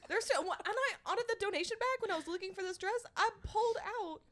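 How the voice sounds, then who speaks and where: A woman's voice: extremely high pitch, fast, a bit bright, a little hiss or hum. A woman co-hosting a casual podcast chat, teasing and laughing often. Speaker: podcast co-host.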